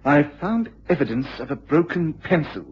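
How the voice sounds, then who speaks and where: An actor playing an agitated, excitable university lecturer with an English accent and a husky voice in a period radio drama, speaking formally and precisely. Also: male voice, a little high, normal speed, heavily acted. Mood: anxious, earnest. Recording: dull, lo-fi old radio recording.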